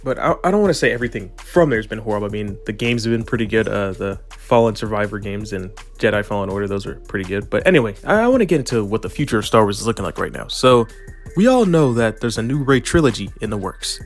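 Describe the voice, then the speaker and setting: A man's voice: on the low side, lively intonation, quick pace, soft background music. Stuffy-nosed man ranting casually. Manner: earnest, rambling with restarts. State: stuffy and nasal from allergies. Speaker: video commentator. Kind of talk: opinionated casual commentary.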